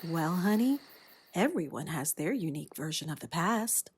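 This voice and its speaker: A woman voicing a wise, gentle old grandmother in a storybook, speaking in a measured, instructive way. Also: sits low, sing-song, slight background noise, pitched below the performer's own voice. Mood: fond, reassuring.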